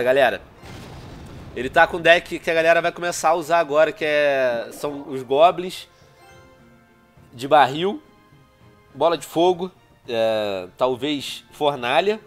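Brazilian gaming commentator, man, slightly high pitch, nasal from a cold, soft music behind. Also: a little slow, pauses often. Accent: Brazilian Portuguese. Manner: chatty, addresses viewers constantly, excitable outbursts. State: congested with a cold. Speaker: gaming YouTuber. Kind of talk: casual gameplay commentary. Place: small room at home, indoors. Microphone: headset.

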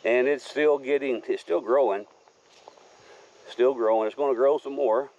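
A man's voice: mid-range voice, fairly even intonation, moderate pace, darkish tone. A man rambling casually as he films a walk around his wooded homestead. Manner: easygoing, rambling, folksy. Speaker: homesteader showing his land. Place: outdoors on rural wooded land. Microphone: handheld camera. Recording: slightly dull outdoor camera audio. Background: crickets and insects.

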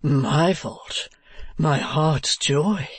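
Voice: mid-range voice, very slow, breathy edge, dry recording. A reader voicing an affected male dandy in a classic novel, drawling in a melodramatic, poetical manner. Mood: languid, lovesick. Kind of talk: classic novel audiobook character.

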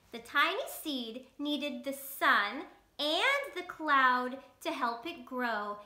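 A woman's voice: high voice, bright, forceful tone. A woman in her thirties talking to young children as a bedtime story host, in simple words with questions to the listener. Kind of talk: storytime talk to young children, read-aloud. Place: indoors, small bedroom. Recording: roomy, echoey home recording.

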